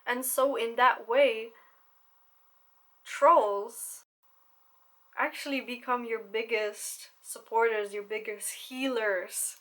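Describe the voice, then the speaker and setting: A woman's voice: fairly high pitch, steady tone, takes it slowly, pauses often, a bit bright. A young woman talking candidly to camera as a content creator, swearing casually and laughing often.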